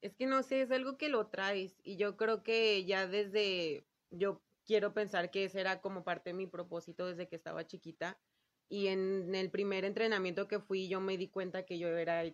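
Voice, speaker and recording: A woman's voice: mid-range voice, steady tone, moderate pace. A young adult woman with a Mexican accent, answering casually as an athlete being interviewed, earnest and informal. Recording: slightly dull, lo-fi sound.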